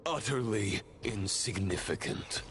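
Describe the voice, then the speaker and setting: An actor playing a solemn, disdainful ancient knight in a video game, declaiming in a grand, formal, measured manner. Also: male voice, lowish voice, noisy background. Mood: grave, scornful. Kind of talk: scripted video game voice acting.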